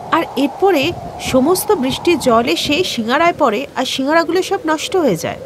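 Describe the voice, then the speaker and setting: A woman's voice: sits high, noisy background. A woman narrating a scripted children's story as the storyteller.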